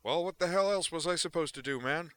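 A brash, agitated man barks and curses through every line, loud and sharp-edged, as a male reader puts him on. The voice sits far higher than the reader's own deep tone. Pure restless storybook mania. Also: fairly quick, smooth, close to an everyday voice. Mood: belligerent. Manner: shouting, cursing, agitated. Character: brash frantic man, gonzo doctor. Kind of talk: audiobook character voice.

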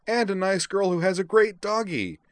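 A man's voice: high voice, animated, moderate pace, dry recording, voice pushed up. Bubbly storybook young woman, man performing: sweet, childlike, gleeful, raised voice.

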